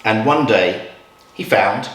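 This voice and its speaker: Slow, lively storytelling man in echoey room. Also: fairly low pitch. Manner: measured, expressive, with dramatic pauses. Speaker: children's storytime reader. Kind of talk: picture-book storytelling read aloud for children. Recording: roomy echo, distant from mic.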